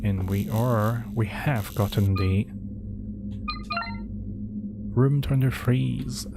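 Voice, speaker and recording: A man's voice: on the low side, extremely slow. A man whose first language is Cantonese, casually commentating a horror game, reading in-game documents aloud and answering chat questions. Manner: reads documents aloud, then skips ahead with asides. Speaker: streamer playing a horror game. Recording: game music behind the voice.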